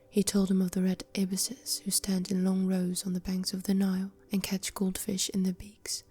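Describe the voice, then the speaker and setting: A woman whispering a fairy tale aloud, in a slow, even storytelling manner. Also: mid-range voice, slightly rough. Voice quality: whispering throughout. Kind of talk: whispered bedtime story reading.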